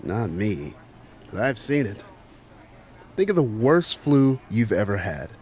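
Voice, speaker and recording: A man's voice: lowish voice, big pitch swings, takes it slowly, a little mellow. A man acting as a blunt, wary manager in an audio drama, speaking emphatically. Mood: grim, defensive. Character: blunt, wary male manager, fearful of mutants. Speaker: general manager.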